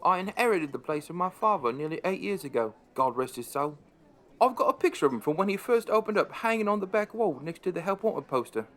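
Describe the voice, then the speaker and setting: A man's voice: sits high, animated, brisk. An actor playing a wry, chatty pub landlord, narrating his story in a conversational way for an audio drama.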